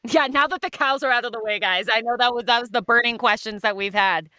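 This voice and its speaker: Bright, clear-voiced woman hosting a podcast. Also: fairly high pitch, fast talker, dry recording. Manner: playful, teasing banter; peppers talk with "right?" and "you know". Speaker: podcast host. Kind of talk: casual podcast chat.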